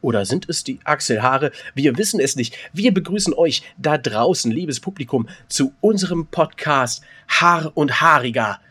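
Joking German-speaking podcast man, fairly high voice. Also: moderate pace, hardly any pauses, breathy edge. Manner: joking, animated, restarts often. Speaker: podcast co-host. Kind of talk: casual comedic chat.

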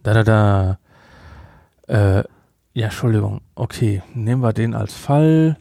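A man's voice: on the low side, expressive, unhurried, gravelly, warm tone, dry recording. Sarcastic, nitpicking man in a dry recording. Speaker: podcast co-host. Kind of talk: casual review chat about an audio drama.

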